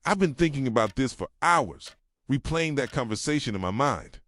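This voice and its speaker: A man playing a jealous, possessive yandere boyfriend in an intimate ASMR roleplay, speaking softly and tenderly and slipping into whispers. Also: expressive, moderate pace, dry recording, studio quality, close to an everyday voice. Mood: possessive, brooding. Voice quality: soft, low, slipping into whispers. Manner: tender, brooding, confessional.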